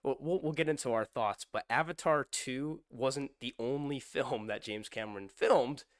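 A young man co-hosting a podcast in casual chat, slightly hoarse from a sore throat, joking and quizzing his co-host. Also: mid-range voice, normal speed, no echo, studio quality. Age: young adult. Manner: chatty, joking, asks his co-host questions.

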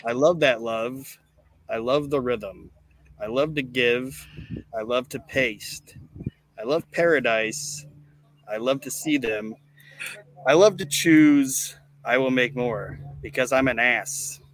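Guy hosting a comedy podcast, kinda deadpan, bright voice, takes his time. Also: mid-range voice, slow, poor audio. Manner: deadpan, monotone when reading. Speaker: podcast host. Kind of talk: casual comedic podcast chat with mock recitation.

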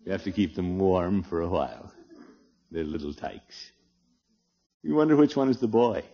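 An actor playing a blustery, soft-hearted middle-aged grandfather in a 1950s radio comedy, theatrical and swinging from booming exclamations to low murmurs. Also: big pitch swings, moderate pace, full character voice. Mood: tender, hushed. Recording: muffled vintage radio recording.

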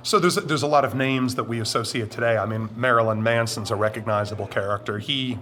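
A middle-aged man with a deep voice, answering interview questions in a deliberate, explanatory way with drawn-out emphatic phrases. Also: steady tone, a bit bright, a little hiss or hum. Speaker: interview guest.

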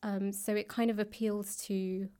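A woman with a southern British accent chatting casually as an interview guest, tentative and pausing mid-thought. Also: mid-range voice, little pitch movement, dark tone, smooth. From London, England. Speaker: interview guest, author and actor. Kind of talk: casual chat. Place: indoors, in a library.

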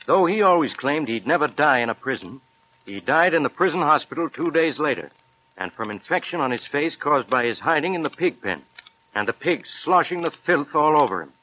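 Bright-voiced police superintendent on noisy old radio. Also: male voice, fairly high pitch, lively intonation, a little fast. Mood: matter-of-fact. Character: police superintendent recounting a closed case. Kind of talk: 1940s radio scripted testimony.

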